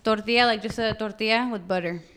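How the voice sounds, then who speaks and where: A woman's voice: mid-range voice, quick pace, a bit bright, slight background noise. A woman chatting casually in a group conversation.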